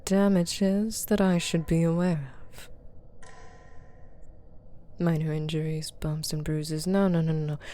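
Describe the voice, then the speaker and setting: A woman's voice: lowish voice, mellow. A woman playing a haughty, shrewd demon buyer in a scripted ASMR roleplay, with a stern, authoritative and condescending manner. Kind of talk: scripted ASMR roleplay, addressing unheard partners.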